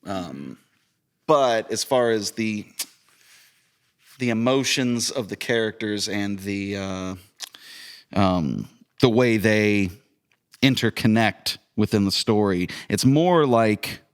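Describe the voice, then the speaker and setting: Male guest, slightly low clear voice, casual, hesitant, feeling for the right words. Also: slow pace, pauses often. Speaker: podcast guest. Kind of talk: casual chat.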